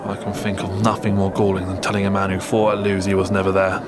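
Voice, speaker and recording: An actor playing a blunt, world-weary British army sergeant of the First World War in an audio drama, with a colloquial London accent. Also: male voice, low-pitched, completely flat delivery, quick pace, noisy background, natural voice. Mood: deferential, frank.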